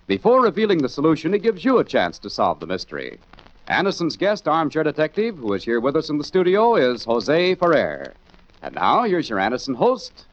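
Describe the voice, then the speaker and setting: Animated 1940s male radio announcer. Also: a little high. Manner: polished, emphatic. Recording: muffled 1940s radio recording.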